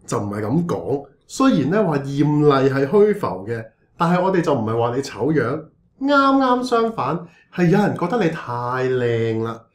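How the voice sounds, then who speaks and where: A man's voice: medium pitch, very animated, moderate pace, echoey room, natural voice. A man playing a preachy, scheming church pastor in a comedic radio drama, theatrical and exclaiming, with a Hong Kong Cantonese accent. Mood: defensive, preachy. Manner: theatrical, exclaiming, mock-righteous.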